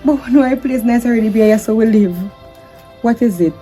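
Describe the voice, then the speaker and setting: A woman's voice: a little high, brisk, warm tone, faint music behind, natural voice. A woman playing a defensive, anxious wife who keeps repeating her questions. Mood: puzzled. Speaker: wife in a domestic drama skit. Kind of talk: improvised-sounding skit acting.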